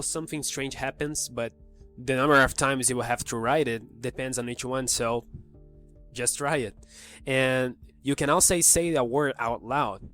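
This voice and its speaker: A man's voice: mid-range voice, a bit bright. A man with a slight cold, hosting a casual explainer and talking straight to the viewer. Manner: conversational, talks directly to the viewer. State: has a cold, voice a little off. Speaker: video host explaining psychology.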